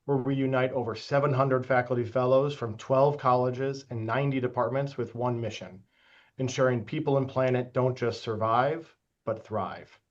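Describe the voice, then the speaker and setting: A man with a mid-pitched voice speaks as a moderator in a formal, measured way, as if from prepared remarks. His phrasing is orderly and scripted. The audio is slightly dull and muffled. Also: steady tone. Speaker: moderator introducing and questioning a guest. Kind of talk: formal scripted introduction and interview questions.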